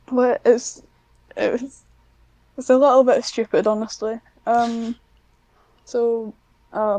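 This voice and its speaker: A woman's voice: on the high side, dark tone, no echo, dull audio. A woman chatting casually as an interview guest, hesitant and self-deprecating. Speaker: podcast guest, amateur scriptwriter.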